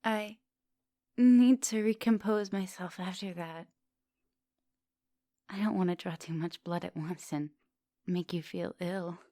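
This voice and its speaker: A woman playing a seductive, gentle vampire professor in an intimate ASMR roleplay, murmuring and whispering coaxingly up close. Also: fairly low pitch, steady tone, slow, pauses often, no room sound. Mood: sated, caring. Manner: breathy murmurs and whispers, coaxing pet names. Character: seductive female vampire professor, hungry yet gentle and polite. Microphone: close microphone.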